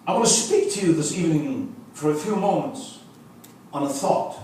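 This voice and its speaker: High-voiced preacher with Afrikaans roots, echoey church. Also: male voice, animated, moderate pace, mellow, recorded from a distance, slight background noise. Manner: rhythmic repetition, rising to emphatic preaching, jokes with the crowd. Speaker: visiting preacher. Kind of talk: revival sermon. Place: church sanctuary.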